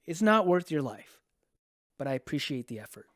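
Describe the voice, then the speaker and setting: An actor playing a worried father and farmer in a sci-fi radio drama, talking in a halting, conversational way. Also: fairly high pitch, very animated, no echo, clean studio sound. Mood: concerned, gentle.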